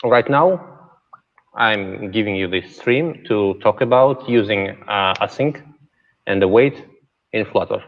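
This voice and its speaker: A man moderating an online tech conference stream, relaxed and halting with many fillers. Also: lowish voice. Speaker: event host. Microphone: video call. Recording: dull, reverberant stream audio.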